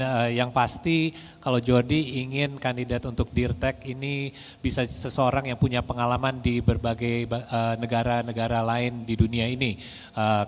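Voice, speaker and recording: A man's voice: mid-range voice, in a large hall. A man hosting an interview and interpreting, asking questions hesitantly with many fillers and restarts.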